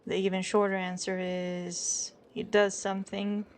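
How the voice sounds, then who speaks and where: Woman doing composed old west photographer lady, careful wording, non-native english speaker, lots of vocal fry. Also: mid-range voice, flat delivery, a little hiss or hum, natural voice. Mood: composed, guarded. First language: not English. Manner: measured, careful word choices. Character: composed, guarded female photographer and connections broker in Old West. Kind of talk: improvised roleplay dialogue.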